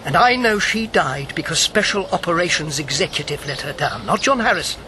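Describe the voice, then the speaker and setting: An actor playing a principled, combative elderly former wartime agent in a radio drama, pointed and sardonic. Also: male voice, fairly high pitch, lively intonation, a little fast, pushed and bright, slight background noise. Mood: accusing. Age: older. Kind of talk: broadcast radio drama acting.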